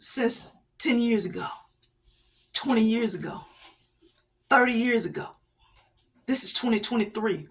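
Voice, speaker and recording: Woman, faith speaker, somewhat high voice, repetitive preachy delivery with light laughs. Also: pushed and bright. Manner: preachy, repeats phrases for emphasis, laughs between points. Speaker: inspirational speaker. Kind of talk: motivational faith talk.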